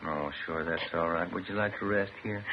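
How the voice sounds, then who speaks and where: An actor playing a steady, methodical police detective in a 1950s radio drama, matter-of-fact and understated. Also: male voice, deep voice, normal speed, close to an everyday voice. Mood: gently probing. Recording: muffled, noisy old radio recording.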